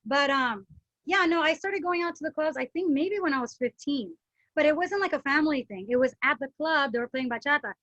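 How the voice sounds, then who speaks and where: High-voiced woman hosting a chat. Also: no room sound, muffled recording. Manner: lively, chatty, quick to chime in. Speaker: podcast host. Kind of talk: casual chat.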